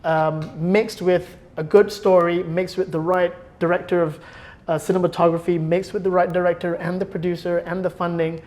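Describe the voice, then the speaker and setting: A man's voice: high-pitched, flat delivery, normal speed, darkish tone. A man speaking as a guest in a conversational interview, often searching for words and rephrasing as he explains. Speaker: interview guest, film producer.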